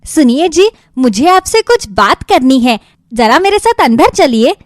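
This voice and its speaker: A woman's voice: high-pitched, very animated, measured pace, dry recording, clean studio sound. A woman playing a greedy, bossy village wife in a cartoon moral story, with an emphatic storybook delivery. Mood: insistent.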